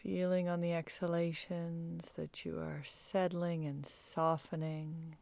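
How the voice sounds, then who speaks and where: A woman teaching guided meditation, speaking softly with calm, gentle instructions and long pauses. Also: fairly low pitch, creaky, muffled recording.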